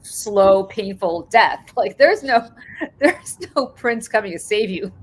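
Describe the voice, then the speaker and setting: A woman's voice: mid-range voice, expressive, slow pace, low-quality recording. A woman in her forties, originally from Russia, chatting casually as a podcast host and laughing through her stories. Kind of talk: casual chat.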